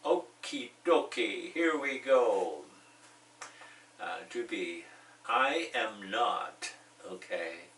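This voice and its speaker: Guy teaching english real slow, deep voice, mic kinda far off in an echoey room. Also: animated, a bit bright, a little hiss or hum. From United States. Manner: slow, repeats example phrases, joking asides. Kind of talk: bilingual language lesson.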